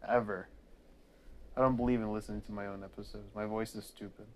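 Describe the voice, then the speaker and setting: A woman's voice: very deep voice, fairly even intonation, fast talker, darkish tone. A young woman co-hosting a casual podcast chat, joking and teasing her friend. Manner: joking, teasing, self-deprecating. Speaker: podcast co-host.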